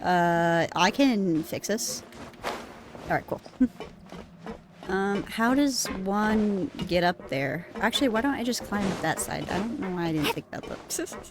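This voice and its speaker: A woman's voice: medium pitch, animated, a little slow, noisy background. A young woman streamer chatting casually over her game, swearing often and laughing at her own slip-ups, on a desk mic. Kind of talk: casual gameplay commentary. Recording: game music playing behind.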